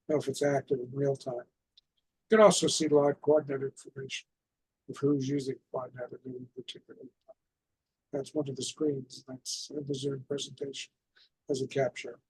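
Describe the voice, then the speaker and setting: A man giving an informal instructional presentation as a radio net host, explaining in a halting way with repeated phrases. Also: medium pitch, a little mellow, husky, no room sound, rough recording.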